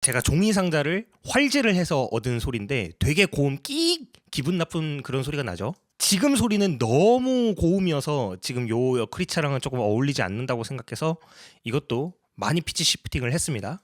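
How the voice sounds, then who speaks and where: Man narrating a tutorial, lively pitch. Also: fairly quick, no echo, studio quality. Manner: polite, explanatory. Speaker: sound designer explaining own work. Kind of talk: tutorial narration, process breakdown.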